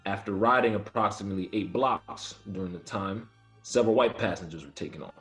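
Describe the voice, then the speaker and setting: Man reading a low-voiced, earnest young soldier. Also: a little fast, fairly bright tone, soft background music, lightly acted. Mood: reflective, earnest. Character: earnest, principled young male Army soldier, quietly firm. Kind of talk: screenplay table read, first-person narration and dialogue.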